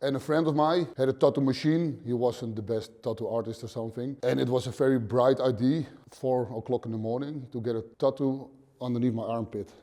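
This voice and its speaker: Thirties Dutch man, gym owner, blunt halting interview talk, mellow dark voice, dim gym. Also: medium pitch, steady tone. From Netherlands. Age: 30s. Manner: plain, blunt, swears casually, short halting phrases. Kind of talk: casual interview answers.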